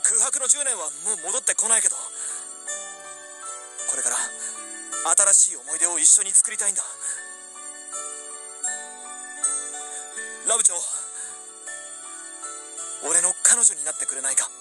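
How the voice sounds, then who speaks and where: A man playing an earnest, apologetic young man in a romantic radio drama, speaking tenderly and deliberately to his childhood sweetheart. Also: high-pitched, big pitch swings, music in the background, pitched above the performer's own voice. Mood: earnest, pleading. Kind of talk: romantic radio drama, first-person narration and dialogue.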